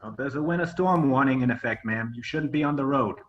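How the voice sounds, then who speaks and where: Man acting a brisk, suspicious male cop. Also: medium pitch, dull audio, natural voice. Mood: politely suspicious. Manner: official, probing, polite. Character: suspicious male highway cop in his thirties. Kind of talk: screenplay table read.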